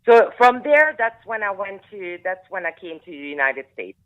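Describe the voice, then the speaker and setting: A Belgian woman with a Flemish accent, Flemish-speaking by birth, talking as an interview guest. She sounds lively and friendly and often restarts her sentences. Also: mid-range voice, moderate pace. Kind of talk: casual interview on a phone line. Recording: tinny phone line.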